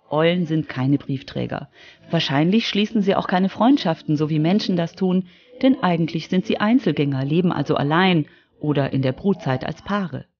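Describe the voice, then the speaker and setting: A woman's voice: lowish voice, dull audio. A woman reporter reading scripted narration for a children's radio feature.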